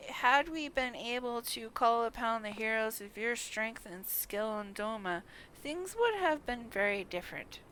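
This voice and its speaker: A grave clan father from a fantasy game voiced by a woman, formal, full of loss and gratitude. The voice is bright, the pace slow. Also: fairly high pitch, animated, a little hiss or hum, low-quality recording. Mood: regretful.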